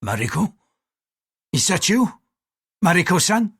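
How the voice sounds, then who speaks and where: A man's voice: high voice, sing-song, a little slow, breathy edge, voice pushed up, full character voice. A man voicing a dazed male English sailor, alarmed and bewildered.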